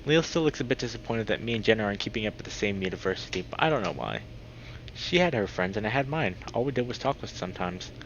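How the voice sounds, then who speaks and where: A man reading a visual novel aloud as a streamer, with expressive reactions. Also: lowish voice, fast talker. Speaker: video game streamer. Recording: dull lo-fi mic with clear background noise.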